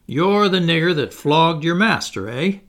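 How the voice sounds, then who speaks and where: A man's voice: fairly high pitch, lively intonation, unhurried, fairly bright tone, pitched above the performer's own voice. A man voicing a sarcastic, blustering old plantation owner who mocks and preaches in a drawl. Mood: mocking. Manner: mocking, bullying and preachy, with drawled words.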